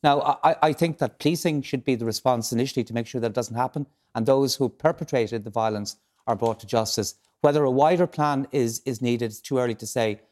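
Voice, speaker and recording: An Irish man, a political journalist, analysing events in a measured way on a radio panel. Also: medium pitch, darkish tone. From Ireland. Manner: measured, analytical, with frequent restarts.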